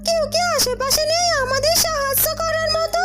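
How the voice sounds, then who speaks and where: A performer voicing a frantic, sharp-tongued young maidservant in a cartoon, exclaiming, scolding and pleading. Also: very high-pitched, steady tone, brisk, music in the background. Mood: panicked, pleading. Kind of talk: cartoon dialogue.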